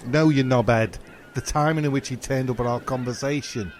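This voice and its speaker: Comedy audio drama: male actor as sardonic, opinionated know-it-all mate, mid-pitched, exasperated, faint ambient noise with honking birds. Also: steady tone, a little fast, lightly acted. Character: indignant, sardonic male mate named John, correcting others.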